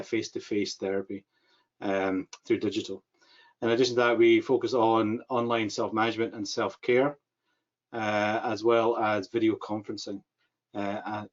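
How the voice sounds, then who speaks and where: Scottish man, middle-aged, low-pitched presenter with a steady, halting delivery, recorded over a muffled video call. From Scotland. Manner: measured, many "uh" pauses. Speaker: government mental health advisor. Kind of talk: webinar presentation. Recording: dull lo-fi video call audio.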